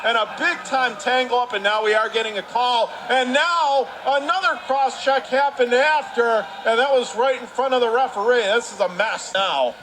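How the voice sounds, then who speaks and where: Male sports announcer, high-pitched, clipped and excitable hockey calls, light arena noise in the background. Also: normal speed, few pauses. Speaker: radio play-by-play announcer. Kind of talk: live ice hockey play-by-play commentary. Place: commentary position at an indoor ice rink.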